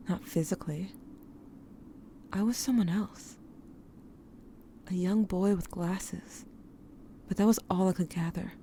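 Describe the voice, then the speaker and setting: A woman playing a haunted, grieving diarist in an audio drama, narrating in a measured, brooding and intimate way. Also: fairly low pitch, warm tone, slight background noise. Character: haunted, grieving diarist recounting dreams to a lost sibling. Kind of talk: scripted first-person audio drama narration.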